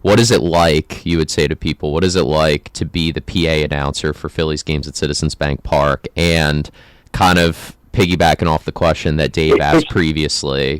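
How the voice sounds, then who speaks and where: Student sports interviewer, male, young, American. Extremely low, smooth voice, dry sound. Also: fairly even intonation, normal speed, dry recording. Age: young adult.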